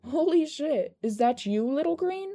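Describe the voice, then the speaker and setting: A woman's voice: sits high, expressive, a little slow, warm tone, no echo, voice pushed up. Woman acting a brash, tough gang woman. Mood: brash, friendly. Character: tough, brash, friendly female gang member.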